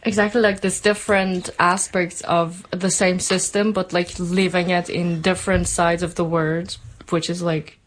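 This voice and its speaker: Giggly young woman, slightly low voice. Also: level tone, moderate pace, hardly any pauses, poor audio. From Iran. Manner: giggly, casual, frequent "like". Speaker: podcast co-host. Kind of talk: casual chat.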